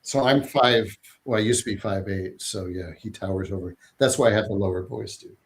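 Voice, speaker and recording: A man's voice: on the low side, brisk, a little hiss or hum. A man hosting a casual listening stream about his album, chatty and self-deprecating, laughing often. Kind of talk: casual chat.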